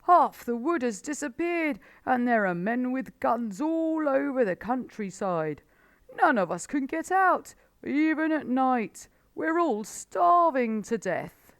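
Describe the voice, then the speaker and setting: A woman's voice: sits high, animated, slow, no room sound, studio quality, voice pushed up, exaggerated voice. A woman voicing a desperate, anguished old male badger in a storybook. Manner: anguished, pleading, loud.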